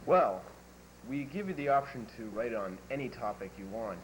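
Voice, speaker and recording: A teenage boy playing a college admissions officer in a school TV sketch, answering everything in a flat, matter-of-fact way. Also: medium pitch, normal speed, natural voice. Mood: deadpan. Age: teens. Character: deadpan absurd male college admissions officer. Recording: noisy 1980s school videotape.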